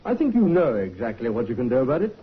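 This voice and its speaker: An actor playing a calm, probing Scotland Yard inspector in a 1950s radio drama, questioning politely and persistently. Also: male voice, medium pitch, quick pace, background noise, lightly acted. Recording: dull vintage radio broadcast.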